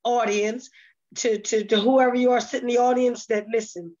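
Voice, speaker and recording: A woman's voice: fairly high pitch, flat delivery, normal speed, darkish tone, dull audio. A woman giving folksy, preacherly encouragement in a casual interview, in short emphatic phrases.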